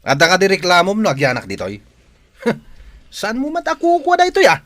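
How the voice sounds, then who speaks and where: A man's voice: high voice, huge pitch swings, fairly quick, a bit bright, no echo, heavily acted. A man with an Ilocano accent playing a pushy, boastful troublemaker in an audio drama, taunting and insulting. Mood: cocky, mocking. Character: brash male troublemaker, mocking, pushy and boastful.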